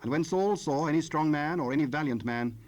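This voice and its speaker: A man narrating scripture from a script in a solemn, measured manner. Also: a little high, darkish tone. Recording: noisy cassette rip, ambient sound.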